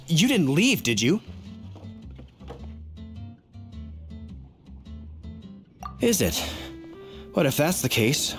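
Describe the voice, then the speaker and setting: A suspicious but earnest knight from a video game. He talks slowly, formally and hesitantly, with lively rises and falls. Soft music is behind him. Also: fairly high pitch. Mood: indignant, suspicious.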